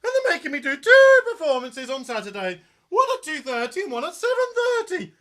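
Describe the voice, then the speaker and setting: A woman's voice: sits high, wildly swooping pitch, a little fast, a bit bright, heavily acted. A woman playing a flustered, saucy, doting pantomime nanny with a gushing, theatrical manner. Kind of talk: amateur pantomime acting.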